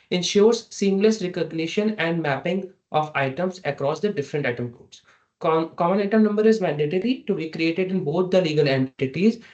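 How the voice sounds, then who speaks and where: A man giving a halting, step-by-step software tutorial as a trainer over an online meeting. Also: on the high side. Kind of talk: screen-share software tutorial. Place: online meeting with screen share. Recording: dull, lo-fi online meeting audio.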